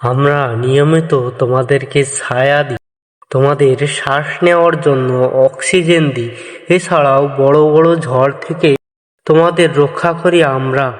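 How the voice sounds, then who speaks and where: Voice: medium pitch, fairly even intonation, moderate pace, in a large hall, close to an everyday voice. An actor voicing an aggrieved, resentful talking tree in a cartoon folk tale, speaking reproachfully. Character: aggrieved, resentful old tree passing judgment. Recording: music behind the voice. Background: music.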